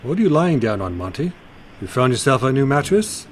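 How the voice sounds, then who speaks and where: A man's voice: medium pitch, sing-song, a little fast, slight background noise, lightly acted. A man playing a mocking police officer who taunts in a sneering way. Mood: taunting.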